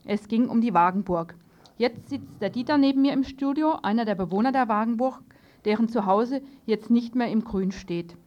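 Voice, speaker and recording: A woman presents the news live in a radio studio. Her voice sits fairly high. The recording is muffled, like eighties radio tape. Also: normal speed. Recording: dull lo-fi 1980s radio tape.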